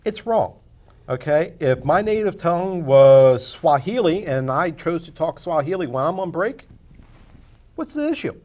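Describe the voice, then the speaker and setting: A man's voice: fairly high pitch, very animated, unhurried, a little mellow. A man on a TV panel giving blunt, opinionated takes on the news. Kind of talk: casual panel discussion.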